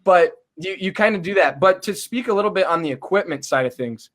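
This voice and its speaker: Young male guest, booming voice a touch high, eager and rambling, on a home podcast mic. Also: animated, a little fast. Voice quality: booming loud voice. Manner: enthusiastic, many fillers and restarts. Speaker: chiropractic student guest. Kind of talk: casual interview over video call. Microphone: desktop USB podcast mic.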